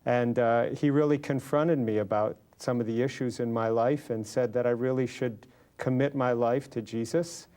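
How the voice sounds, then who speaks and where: A man's voice: mid-range voice, fairly even intonation, normal speed, dark tone. American man sharing a personal testimony. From Los Angeles, California, USA. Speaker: interview guest, lay businessman.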